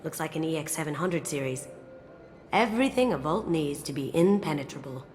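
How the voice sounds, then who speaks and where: An actress playing a sly, self-assured master thief in a video game, breezy and wry. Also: female voice, low-pitched, expressive, a little fast, a little mellow, a little hiss or hum. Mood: confident, businesslike. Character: sly, confident master thief woman, playful and cool. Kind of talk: video game character acting. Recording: music behind the dialogue. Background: game soundtrack music.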